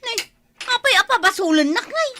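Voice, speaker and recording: Woman playing a high-pitched, protesting Ilocano village mother. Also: sing-song, normal speed, noisy background. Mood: defensive, indignant. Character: habitually late village mother, defensive, excuse-making. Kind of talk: Ilocano comedy radio drama acting.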